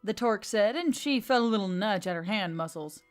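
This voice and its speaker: Woman narrating fiction over soft music. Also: medium pitch, lively intonation, brisk.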